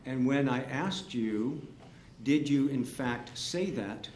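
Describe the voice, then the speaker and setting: A man's voice: medium pitch, takes it slowly, a little mellow. A man working as defense attorney, cross-examining a witness with methodical, leading yes-or-no questions. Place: courtroom. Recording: echoey courtroom, faint hiss.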